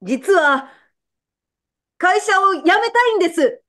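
Lady doing a meek pheasant employee in a comedy radio play, super high voice, polite and hesitant. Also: animated, slow pace, fairly bright tone, exaggerated voice. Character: meek, apologetic pheasant employee of a company president. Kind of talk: comedic radio drama acting.

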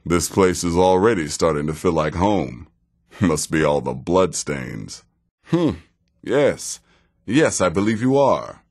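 An actor playing a cynical, seasoned male assassin in a video game, with a dry, deadpan and deliberate manner. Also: low-pitched, heavily acted. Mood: wry, impressed. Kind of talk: video game character dialogue.

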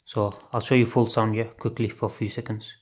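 A man's voice: fairly low pitch, flat delivery, a little fast, a little mellow, husky. A man casually unboxing and trying out a gadget, thinking aloud and swearing offhandedly, recorded on a phone at home at night. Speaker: unboxing video host. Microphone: phone camera filming hands on a table.

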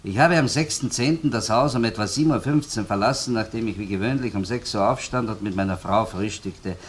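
Actor as low-voiced, sardonic Viennese police investigator. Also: male voice, fairly quick, slight background noise, close to an everyday voice. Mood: matter-of-fact. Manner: colloquial, sardonic, keeps tagging sentences with "ne?". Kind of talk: German-language crime radio play acting.

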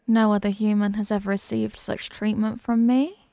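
A woman's voice: mid-range voice, level tone, gravelly, warm tone, no echo, lightly acted. A woman voicing a condescending, sadistic and possessive demon queen who mocks the human listener in a scripted ASMR roleplay. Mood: smug, possessive. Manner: condescending, mocking, deliberate and teasing.

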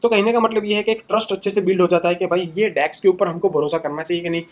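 A man's voice: high voice, steady tone, very fast. Young man giving casual market analysis. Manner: conversational, fillers and tags after many sentences. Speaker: crypto channel host.

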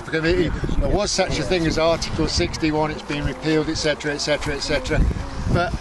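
Middle-aged male activist, high pitch, emphatic and declamatory delivery, recorded outdoors under very loud wind noise. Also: flat delivery, fast talker. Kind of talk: impassioned outdoor political talk to a small group. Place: open grassy field, outdoors. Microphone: camera microphone a few steps away. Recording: very noisy windy outdoor camera audio. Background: loud wind and ambient noise.

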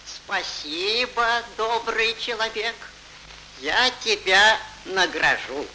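An actor playing a kindly old beggar in a fairy-tale cartoon, with a solemn, drawn-out delivery. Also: male voice, sits high, bright, forceful tone, a little hiss or hum, exaggerated voice, rough recording. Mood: grateful, solemn. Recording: old 1940s film soundtrack, faint music.

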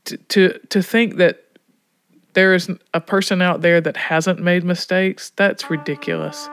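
A woman's voice: fairly low pitch, fairly even intonation, unhurried, noisy background. A woman from Alabama telling reflective stories in an interview, emphatic and often checking with "right?". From Alabama, United States. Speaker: prison education program director.

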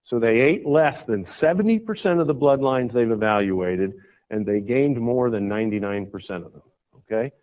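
A man's voice: animated, moderate pace. A man from the United States giving a folksy, persuasive conference talk, often checking in with the audience. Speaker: conference speaker, cattle breeder. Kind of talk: conference presentation. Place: conference hall stage. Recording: tinny, phone-like low-fi recording.

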